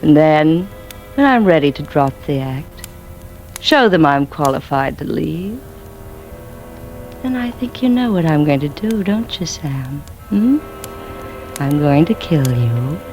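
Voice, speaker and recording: An actress playing an embittered, intense wife who feigns madness, confessing haltingly in 1940s radio suspense style. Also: female voice, deep voice, very animated, a little mellow, exaggerated voice. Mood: coldly menacing. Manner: dramatic, halting confessional delivery. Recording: vintage radio recording with music.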